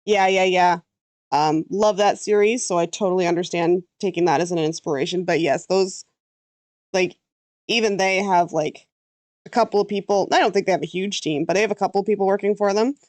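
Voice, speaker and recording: A woman's voice: fairly low pitch, a little fast, a little mellow, no echo. Canadian woman, casual drawing stream, rambling chat, dry close sound. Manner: chatty, thinks aloud, trails off mid-sentence. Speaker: VTuber artist streaming her drawing.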